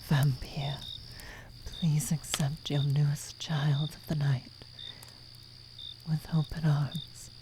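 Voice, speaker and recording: Girl doing centuries old vampire lady, deep for a woman, slow n anguished, breathy whispery asmr fantasy drama, echoey w noisy crickets behind. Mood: solemn pleading. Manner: breathy, halting, often dropping into whispers. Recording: echoing reverb with noisy cricket ambience. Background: night ambience with crickets and sound effects.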